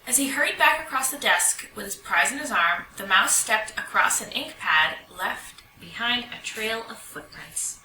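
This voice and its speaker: A woman reading a children's picture book aloud in an expressive storytelling manner. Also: fairly high pitch, moderate pace, few pauses. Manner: expressive, animated storytelling delivery. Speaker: storybook reader. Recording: roomy echo, distant mic, faint noise.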